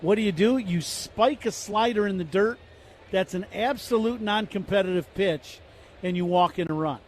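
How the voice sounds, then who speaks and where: A man with a notably high voice breaking down pitches and strategy as a radio color commentator. He talks in a conversational, analytical way and leans hard on his key points. Faint noise runs under him. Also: normal speed, a little mellow.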